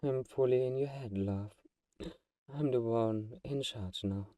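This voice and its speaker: A man playing a possessive vampire who is gentle, coaxing and condescendingly protective, in a soft-spoken, intimate ASMR roleplay. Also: mid-range voice, measured pace, dry recording, far from the mic, natural voice. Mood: calm, controlling.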